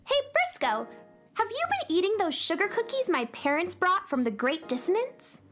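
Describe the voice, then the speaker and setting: Very high voice, wildly animated, perky young space cowgirl, gushing, children's radio play. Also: moderate pace, faint music behind, heavily acted. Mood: eager. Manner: gushing, wide-eyed enthusiasm. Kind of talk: children's radio drama acting.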